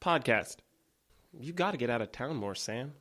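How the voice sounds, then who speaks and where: A man's voice: mid-range voice, lively intonation, moderate pace, fairly bright tone. An actor playing an easygoing young man with a dry, wry way of talking.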